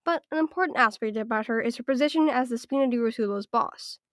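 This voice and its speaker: Hoarse, congested woman narrating a video essay. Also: on the high side, fast talker, dry recording, studio quality. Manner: structured, list-by-list evaluation. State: raspy, congested voice from illness.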